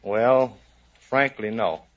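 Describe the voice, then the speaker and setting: A man's voice: fairly low pitch, very slow. A man, a navy sailor, giving plainspoken, folksy answers as a contestant on an old 1950s radio show. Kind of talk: casual interview answers. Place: studio in front of audience. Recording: noisy muffled 1950s radio broadcast recording.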